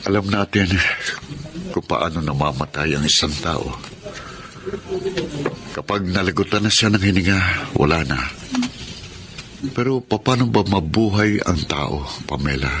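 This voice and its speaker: Low-voiced Filipino remorseful thief. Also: male voice, expressive, measured pace, long pauses, a bit bright, a little hiss or hum, heavily acted. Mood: grieving, somber. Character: remorseful young male petty thief in a slum. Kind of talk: Filipino radio-style drama acting.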